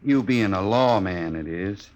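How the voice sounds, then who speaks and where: An American actor playing a cocky drifting cowboy who needles with false friendliness and folksy talk in a 1950s Western radio drama. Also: male voice, on the low side, lively intonation, a little slow, muffled recording. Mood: sly, taunting. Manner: taunting, drawling folksy talk.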